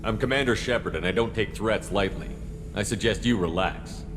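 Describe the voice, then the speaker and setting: An actor playing a military starship commander in a video game, speaking in a firm, direct, controlled manner. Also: male voice, lowish voice, normal speed, over music, natural voice. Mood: firm, steady. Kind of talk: video game character acting. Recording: long reverb, clearly noisy backdrop.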